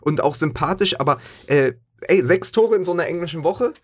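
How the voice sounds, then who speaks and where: Middle-aged man from Berlin, podcast co-host, rambling football chat, lots of ums, fairly high voice. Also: expressive, a little fast. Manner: rambling, restarts and many fillers. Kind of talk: casual football talk.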